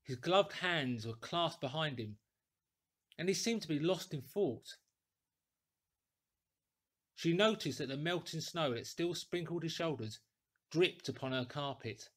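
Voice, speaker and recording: A man reading a classic story aloud in a deliberate, theatrical, clearly articulated way. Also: on the high side, animated. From England. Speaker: audiobook reader, actor. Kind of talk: dramatic scripted storytelling.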